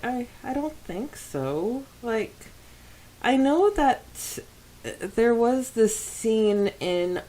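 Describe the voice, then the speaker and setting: A woman's voice: fairly high pitch, warm tone, poor audio. A woman co-hosting a casual fan podcast, chatty and often laughing as she talks. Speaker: podcast co-host.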